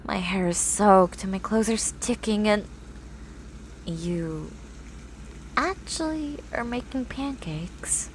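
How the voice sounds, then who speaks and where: A woman playing a grumpy, eccentric young horse-girl scientist in an ASMR roleplay, with formal, haughty, drawn-out wording. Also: mid-range voice, expressive, low-quality recording. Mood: grudging. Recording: clear background noise, ambient sound.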